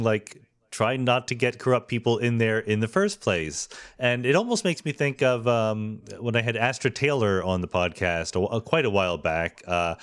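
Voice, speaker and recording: A middle-aged man hosting a podcast, speaking in a thoughtful, measured way with fillers. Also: fairly low pitch. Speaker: podcast host. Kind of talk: podcast interview.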